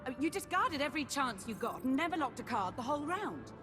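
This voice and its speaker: An actress playing a proud former Sith spymaster at a card table in a video game cutscene. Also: female voice, sits high, big pitch swings, fast talker, music in the background. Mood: incredulous. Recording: game score behind the voice.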